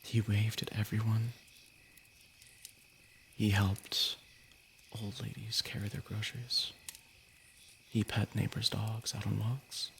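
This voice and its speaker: Creaky-voiced man reading stories on stream. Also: on the low side, flat delivery, slow, pauses often, slight background noise. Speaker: livestream host reading stories. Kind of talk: casual story reading on a livestream.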